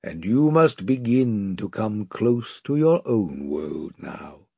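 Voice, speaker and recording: A man voicing a great, wise male lion who speaks gravely, slowly and tenderly. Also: fairly low pitch, sing-song, dark tone, no room sound, clean studio sound, voice pushed down, exaggerated voice. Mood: solemn tender.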